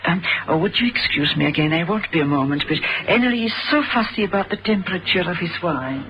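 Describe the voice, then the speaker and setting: A woman's voice: on the low side, animated, fast talker, a bit bright. A woman with an English accent playing a genteel, gracious society wife in a radio drama, polite and fluttery. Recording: slightly noisy vintage radio recording.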